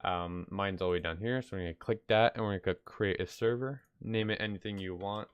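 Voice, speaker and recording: A man hosts a casual how-to video in a deep, clean voice, slightly nasal with a head cold. He rambles and hesitates in an offhand way. The sound is dry and close on the microphone. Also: brisk, clean tone, no room sound, lo-fi sound. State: sick with stuffy nose. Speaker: video tutorial host. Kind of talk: casual unscripted software tutorial. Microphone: close mic, screen-recording voice-over.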